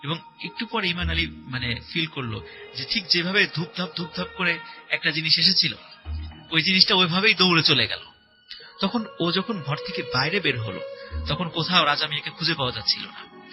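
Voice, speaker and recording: A man telling a horror story conversationally as a guest, in a halting, repetitive, suspenseful way. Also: fairly high pitch, animated, bright, forceful tone, rough recording. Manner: halting, repetitive, suspenseful retelling with filler words. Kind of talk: spoken horror story told conversationally to a host. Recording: voice over prominent background music. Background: music.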